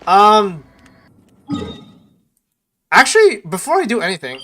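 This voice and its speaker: Slow-talking male streamer, slightly high voice. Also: huge pitch swings, bright, forceful tone, slight background noise. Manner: muttering to himself, short fragmented remarks. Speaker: game streamer. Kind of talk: casual livestream gameplay chat. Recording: game music behind the voice. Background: game music.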